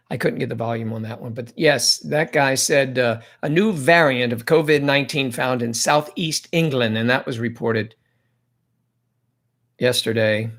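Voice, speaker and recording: A man hosts a casual livestream, chatting at an easy, medium pitch. He rambles from topic to topic and reads viewer comments aloud to his guests. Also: vocal fry, a bit bright. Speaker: livestream host.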